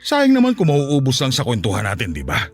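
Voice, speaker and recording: A man's voice: medium pitch, huge pitch swings, brisk, slightly rough, soft background music, heavily acted. Man acting a lustful Filipino widower, wildly swooping delivery. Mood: lustful, eager. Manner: suggestive, coaxing, chuckling seducer. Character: lustful middle-aged Filipino widower, coaxing, boastful, smitten. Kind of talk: Tagalog radio drama acting.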